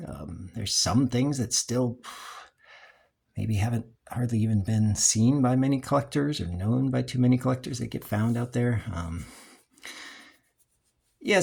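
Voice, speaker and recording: A middle-aged man giving a casual, unscripted video tour as a collector, rambling and thinking aloud with hesitant pauses. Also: lowish voice, creaky, a little mellow, slightly rough.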